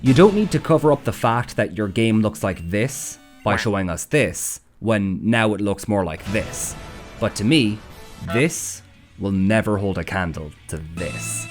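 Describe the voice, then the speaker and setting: A man's voice: lowish voice, lively intonation, measured pace. A man reading scripted video essay narration in a wry, sardonic, sweary way. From Ireland. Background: background music.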